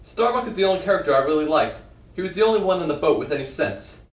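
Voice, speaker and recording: A man's voice: on the high side, expressive, quick pace, lightly acted. Man as an earnest book club member, echoey. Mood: matter-of-fact. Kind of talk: comic puppet show dialogue. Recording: echoey room, distant microphone.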